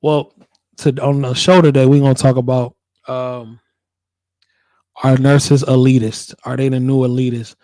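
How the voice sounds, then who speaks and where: An American man hosting a casual livestream, laid-back and slangy, repeating his phrases, with a voice hoarse from a cold he is getting over. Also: medium pitch, flat delivery, unhurried, mellow, no echo. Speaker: livestream host, working nurse.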